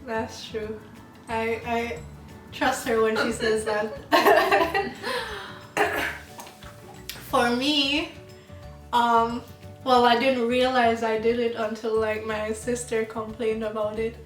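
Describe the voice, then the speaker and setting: Young woman from jamaica, chatty and giggly, talks slow, kinda high, echoey room, mic far off, music under it. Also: steady tone. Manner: laughs often, slow and chatty. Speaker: channel co-host. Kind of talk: casual get-to-know-me chat. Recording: roomy sound, mic at a distance, music behind.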